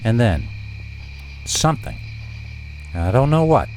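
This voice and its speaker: A man reading a first-person scary story as a narrator, expressive and dramatic, leaning on words with deliberate pauses. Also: medium pitch, a little hiss or hum, low-quality recording.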